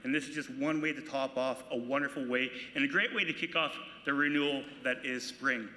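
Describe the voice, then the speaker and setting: A man with a bright, forward voice speaks earnest, off-the-cuff remarks at a podium. His words carry through a large hall with a long, roomy reverb. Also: medium pitch, a little fast. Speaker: local politician giving remarks. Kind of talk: unscripted speech. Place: large banquet hall at a gala. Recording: echoey hall.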